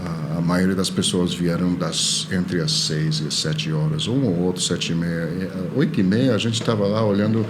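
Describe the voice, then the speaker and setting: A middle-aged man with a Canadian accent, a non-native speaker chatting casually in a halting, jovial way. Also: low voice, steady tone. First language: non-native Portuguese speaker. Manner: halting, jovial, laughs easily. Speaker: podcast guest, orchestral violist. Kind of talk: casual chat. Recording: clearly audible background noise.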